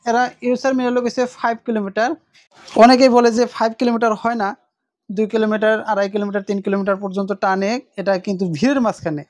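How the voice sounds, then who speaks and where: A woman's voice: mid-range voice, darkish tone, no room sound, clean studio sound. A woman presents a casual unboxing demonstration, explaining informally and repeating her points.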